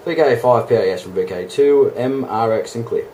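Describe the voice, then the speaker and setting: A man's voice: medium pitch, normal speed, warm tone, slight background noise. An Australian man chatting casually as an amateur radio operator, with a matey, laid-back way of talking. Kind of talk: casual two-way radio chat.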